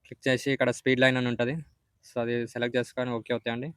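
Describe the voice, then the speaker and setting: A man's voice: mid-range voice, completely flat delivery, racing, fairly bright tone, smooth, dry recording, clean studio sound. A man with a Telugu Indian accent giving a step-by-step app tutorial voice-over as the host. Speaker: video editing tutorial host.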